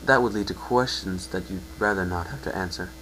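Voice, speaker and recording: Low-voiced man narrating a story, faint hum. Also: brisk, fairly bright tone, rough recording. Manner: reads with stumbles and self-corrections. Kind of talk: second-person story narration, dramatic reading. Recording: home recording with faint hum.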